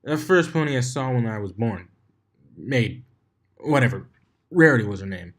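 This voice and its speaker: A man voicing a brisk, studious unicorn mare who talks as though she is taking notes. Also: medium pitch, animated, close to an everyday voice. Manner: businesslike, note-taking.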